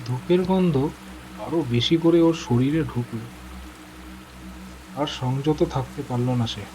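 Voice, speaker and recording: A man's voice: mid-range voice, measured pace, darkish tone, a little hiss or hum, poor audio. A man narrating a horror story in a suspenseful, theatrical, dramatic manner.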